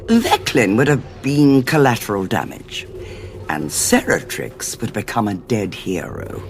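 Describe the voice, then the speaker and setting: An actress with a British accent playing a scheming, coolly amused war commander in an audio drama, arch and condescending. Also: female voice, rumbling low, wildly swooping pitch, unhurried, darkish tone, slight background noise, full character voice. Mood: grave, cold. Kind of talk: full-cast audio drama acting.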